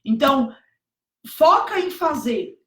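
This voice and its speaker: A hoarse woman teaching exam preparation on a live stream, emphatic, repeating key points and checking that viewers follow. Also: high voice, big pitch swings, slow pace. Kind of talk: motivational live stream talk. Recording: echoey room.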